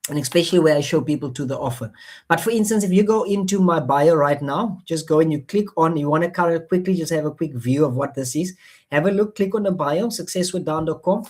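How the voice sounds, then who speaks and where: A man whose first language is Afrikaans, speaking English with an Afrikaans accent, giving a coaxing motivational sales pitch on a live phone stream, repeating himself often with many fillers. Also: darkish tone. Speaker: online marketing presenter.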